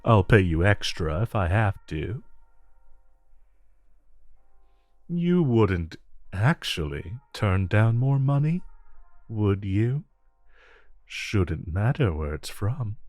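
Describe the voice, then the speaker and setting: A man playing a smug, flirtatious supervillain in a romantic audio roleplay, coaxing and teasing the listener with drawn-out phrasing. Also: lowish voice, a little mellow, dry recording, heavily acted. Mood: pleading, persuasive.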